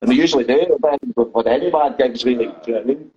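A man's voice: mid-range voice, rapid-fire, a crowd in the background. A Scottish man in a band, chatting in a rambling, laid-back way as an interview guest. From Scotland. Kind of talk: casual interview chat. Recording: dull lo-fi sound.

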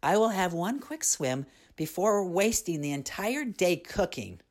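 A woman's voice: on the low side, big pitch swings, measured pace, darkish tone, pitched above the performer's own voice. A woman voicing an impulsive, easily upset young girl in a storybook tale, in a plaintive, pleading way. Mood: tempted, eager. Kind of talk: storybook character voice.

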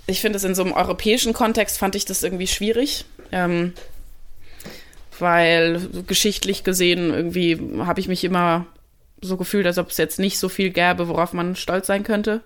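A young woman from Germany talks through her answers in an unhurried interview. Her voice sits a little low, and she pauses and restarts as she works out her thoughts. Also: flat delivery. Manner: thoughtful, with many fillers and restarts. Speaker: musician and interview guest. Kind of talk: casual interview conversation.

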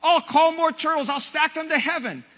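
A man's voice: extremely high pitch, moderate pace, sharp tone. A man voicing a boastful, domineering turtle king from a children's storybook, pompous and bellowing. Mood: defiant, demanding. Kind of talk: children's storybook read aloud. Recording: long hall reverb.

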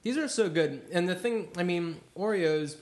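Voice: mid-range voice, animated, moderate pace, darkish tone. An interviewer chatting casually in an easygoing, attentive way, with frequent short acknowledgements.

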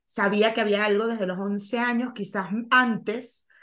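A Venezuelan woman in her forties, a guest telling her story in a casual interview over a video call, lively and acting out quoted lines. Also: mid-range voice, moderate pace. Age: 40s.

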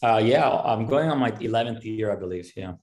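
A man's voice: on the low side, lively intonation, a little fast, a little mellow. A man in his thirties from Miami whose first language is Spanish, chatting easygoing as a podcast guest and rambling with lots of fillers. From Miami, United States. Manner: easygoing, rambling, many fillers like "um" and "you know". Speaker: podcast guest, country singer. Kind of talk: casual interview chat.

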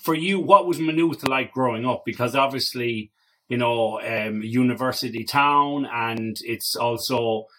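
A man's voice: mid-range voice, measured pace, sharp tone. A man with an Irish accent interviewing someone in an informal, conversational, encouraging way. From Ireland. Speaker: interviewer, host. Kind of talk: casual chat interview.

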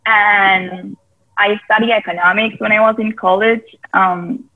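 A woman's voice: medium pitch, fairly even intonation, slow. A woman from Rica, a guest chatting casually over a video call with lots of "like" fillers and loose grammar. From Costa Rica. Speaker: guest, part-time yoga teacher. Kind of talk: casual interview chat. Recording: phone-like call audio.

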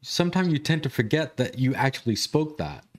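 A man's voice: medium pitch, big pitch swings, fairly quick. Male interviewer asking rambling questions. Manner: asks loose, rambling questions with fillers. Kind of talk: conversational interview.

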